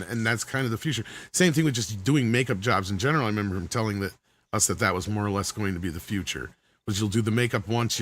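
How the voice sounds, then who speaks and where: A man with a fairly low voice talks loosely on a podcast panel. He rambles a bit and thinks aloud as he goes. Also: a bit bright. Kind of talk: casual panel chat.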